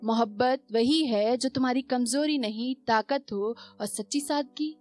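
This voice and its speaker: A young woman performing spoken word poetry at a stand mic on a small stage, with dramatic repetitions, rising questions and soft, slow refrains. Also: on the high side, no room sound, faint music behind. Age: young adult.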